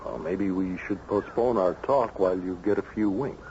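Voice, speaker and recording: Guy acting as controlling shrink pretending to be a pal, old radio play, lowish voice, slightly noisy tape. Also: normal speed, darkish tone, natural voice. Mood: coaxing. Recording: dull, faintly noisy old radio recording.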